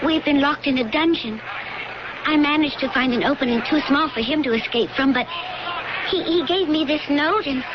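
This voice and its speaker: A woman's voice: high voice, moderate pace, lightly acted. An actress playing a humble, pleading blind slave girl in a radio drama, earnest and deferential. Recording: dull, noisy old radio broadcast.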